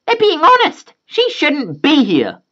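A storyteller voicing a shrill, scornful entitled mother who mocks a child, on a slightly dull recording. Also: wildly swooping pitch, slow pace, fairly bright tone, exaggerated voice. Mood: sneering.

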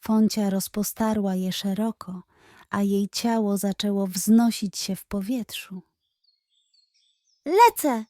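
A woman's voice: medium pitch, flat delivery, unhurried, mellow, no echo, clean studio sound. A woman storyteller giving a scripted narration of a children's bedtime story.

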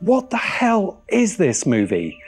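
A man's voice: high voice, huge pitch swings, slow, slightly rough. A middle-aged man presenting a video essay on film in a wry, emphatic manner. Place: open-air veranda.

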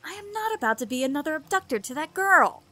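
A woman playing a stern, world-weary woman in an audio drama, speaking in a firm, measured way. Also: high voice, sing-song, pushed and bright, heavily acted. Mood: wary, firm. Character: guarded, world-weary forest-dwelling woman, stern and principled.

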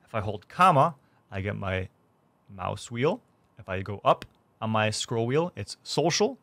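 A young man hosting a gaming tutorial, explaining things clearly step by step from his streaming room. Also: on the low side, sing-song, measured pace, pushed and bright, no echo. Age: young adult. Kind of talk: tutorial narration. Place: small indoor room streaming setup.

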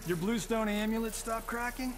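An actor playing a cocky, wisecracking action-star fighter in a video game, flippant and sarcastic. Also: male voice, extremely high pitch, steady tone, normal speed. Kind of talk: video game cutscene dialogue. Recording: game music and effects behind.

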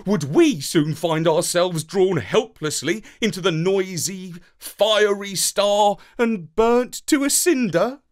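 A man voicing an excitable, loving, dramatic space dog who narrates a children's story with theatrical enthusiasm. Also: sits high, slow pace, hardly any pauses. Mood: wondering.

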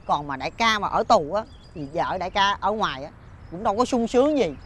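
An actor with a Southern Vietnamese accent playing a tipsy, foolish, cowardly drinking buddy in a folk-tale comedy drama, halting, repetitive and full of exclamations. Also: male voice, sits high, animated, fairly bright tone, a little hiss or hum. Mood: sly, pompous.

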